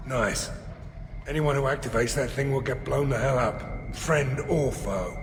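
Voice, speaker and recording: An actor playing a brash, unfazed, foul-mouthed freelance mercenary in a video game, blunt and sardonic. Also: male voice, medium pitch, measured pace, natural voice. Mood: grimly approving. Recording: roomy hall reverb, noisy ambience.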